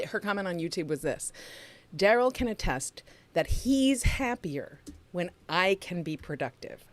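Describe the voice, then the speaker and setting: A middle-aged woman co-hosting a podcast livestream, chatting warmly and emphatically with the audience. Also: on the low side. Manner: chatty, emphatic, talks to the chat room. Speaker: podcast co-host. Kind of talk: casual livestream chat.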